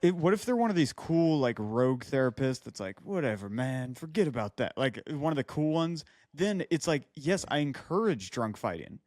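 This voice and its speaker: A man's voice: mid-range voice, sing-song, moderate pace, hardly any pauses, a little mellow, no room sound. Playful man riffing, very dry sound. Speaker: podcast co-host. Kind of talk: casual podcast banter.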